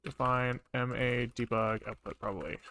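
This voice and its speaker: A man's voice: medium pitch, steady tone, moderate pace, bright, forceful tone. A man thinking aloud as he programs on a livestream, muttering code in fragments and swearing casually, close to a desk microphone.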